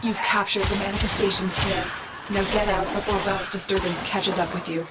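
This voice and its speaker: A woman's voice: medium pitch, fairly even intonation, brisk, over loud music, close to an everyday voice, rough recording. A woman acting a composed, serious mission guide in a video game, giving controlled instructions. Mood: commanding. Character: composed female mission guide advising a soldier.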